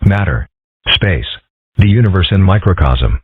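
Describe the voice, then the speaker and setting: A man voicing a calm, philosophical young fox boy in a comic strip dub, deadpan, measured and wry. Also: low voice, breathy edge, no room sound, exaggerated voice. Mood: calm, musing. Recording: muffled, phone-like narrow audio.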